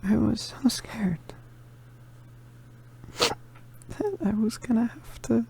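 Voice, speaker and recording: A woman plays an injured young witch boy in an intimate ASMR roleplay. The voice is soft, mellow and breathy, often dropping into a whisper. He speaks slowly and haltingly, gentle and vulnerable, over faint background noise. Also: a little high, lively intonation, exaggerated voice, poor audio. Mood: tearful, scared. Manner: halting, stammering, hesitant.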